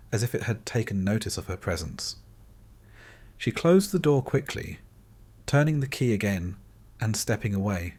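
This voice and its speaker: A man narrates a scripted bedtime story in a calm, soothing, measured way, in deliberate short phrases with pauses. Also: low-pitched.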